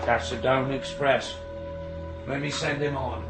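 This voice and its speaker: A man's voice: medium pitch, steady tone, measured pace, reverberant, loud music behind the voice, close to an everyday voice. An actor playing a middle-aged signalman and father, stern, brusque and harried, in a naturalistic radio drama. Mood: businesslike, terse. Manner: brusque, exasperated.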